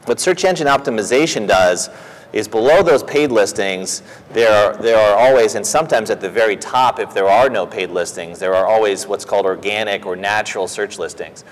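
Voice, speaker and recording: A man, a company president, explaining a technical topic in a steady, businesslike interview answer. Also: on the low side, fairly quick. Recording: reverberant room.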